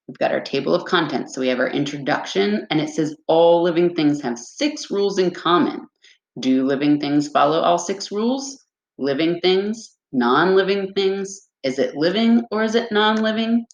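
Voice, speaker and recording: A woman teacher reading a lesson aloud to young children, speaking to them directly and instructively. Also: lowish voice. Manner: instructional, addresses children directly with check-in questions. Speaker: elementary school teacher. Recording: echoey room.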